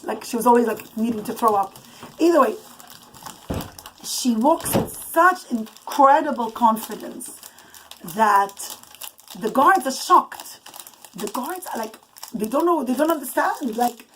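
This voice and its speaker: A woman with a fairly high voice improvises a bedtime story as a mother would for her children. She is emphatic and dramatic, breaking off for asides. The home recording has clear background noise and clicks. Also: expressive, unhurried, pauses often, a bit bright, rough recording. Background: young children chattering.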